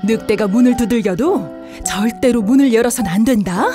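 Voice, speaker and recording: A woman voicing a gentle, caring mother goat in a children's fairy tale, soft and motherly. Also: a little high, moderate pace, lightly acted. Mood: caring, cautioning. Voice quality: soft, tender. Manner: motherly, instructing. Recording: faint music behind the voice.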